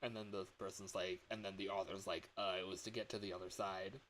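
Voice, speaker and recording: A man with a worn-out voice chatting casually on a livestream, halting and rambling as he comments on games. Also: low voice, little pitch movement, fairly bright tone, slight background noise, low-quality recording. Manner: rambling, halting, breaks into chuckles.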